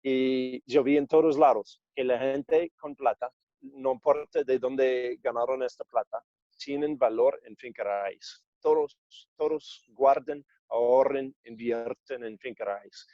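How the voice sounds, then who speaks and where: A man in his thirties from Australia, speaking Spanish as a second language with an accent between Australian and American, answering interview questions haltingly with many fillers. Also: medium pitch, fairly even intonation, no room sound, muffled recording. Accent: Australian-American English speaker of Spanish. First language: English. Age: 30s. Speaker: guest, entrepreneur.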